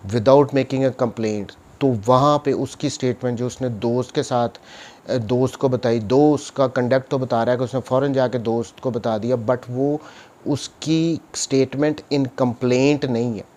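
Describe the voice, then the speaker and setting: A man's voice: medium pitch, fairly even intonation, measured pace, darkish tone. A Pakistani man lecturing in an explanatory, didactic way, posing questions and answering them himself, with English legal terms mixed in. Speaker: law lecturer, advocate. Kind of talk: explanatory lecture, reading statute aloud and explaining.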